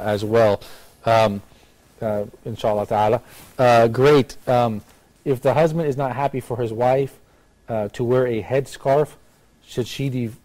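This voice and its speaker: A man's voice: medium pitch, warm tone, low-quality recording. An American man hosting a talk show in a casual, conversational style. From United States. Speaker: live talk show host.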